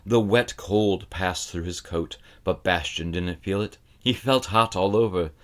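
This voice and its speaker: A man reading a children's fantasy novel aloud as scripted narration, with dramatic, deliberate phrasing. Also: low voice. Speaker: audiobook reader.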